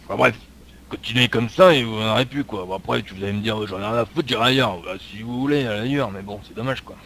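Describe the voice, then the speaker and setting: Gravelly, exasperated police lieutenant played by a man. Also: on the low side, fast talker, a bit bright. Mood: annoyed. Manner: vulgar, fumbles for words. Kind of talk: improvised online game roleplay. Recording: clearly noisy game voice chat.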